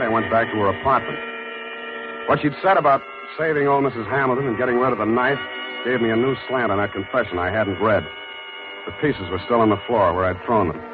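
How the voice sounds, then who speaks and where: A man acting as a tough but tender American private eye in a 1940s radio play. His voice is on the low side, clipped and wry, world-weary in its deadpan delivery. The broadcast sound is narrow and muffled, with music behind. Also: lightly acted.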